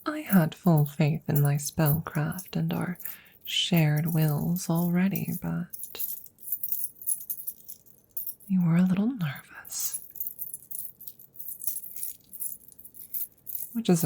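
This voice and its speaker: Woman acting a soft, breathy, unhurried witch. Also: sits low, exaggerated voice. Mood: reassuring. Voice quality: soft, breathy, often slipping into whispers. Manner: unhurried, gently teasing, with soft chuckles. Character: wry, affectionate young witch soothing her werewolf familiar. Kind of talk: ASMR audio roleplay, intimate close-up delivery.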